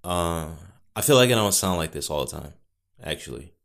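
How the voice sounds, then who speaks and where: Solo podcast host, young man with a low booming voice; loose, wandering delivery full of wry asides. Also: animated, normal speed, gravelly, a bit bright. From Northwest Indiana. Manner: rambling, self-correcting, wry asides. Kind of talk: casual solo podcast chat. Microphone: studio podcast mic.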